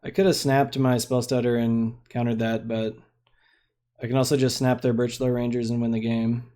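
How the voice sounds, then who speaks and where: A man's voice: mid-range voice, level tone, moderate pace, dark tone. A young man giving casual, rambling commentary on a card game he is streaming. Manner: laid-back, rambling, lots of fillers.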